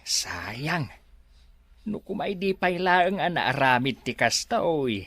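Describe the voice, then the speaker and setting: A meek, halting workman in a radio drama. He talks slowly, with pitch that swoops wildly, in a dry recording. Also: sing-song, fairly bright tone, no room sound, full character voice. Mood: deferential, hesitant. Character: hesitant, deferential male resort worker. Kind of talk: Ilocano radio drama acting.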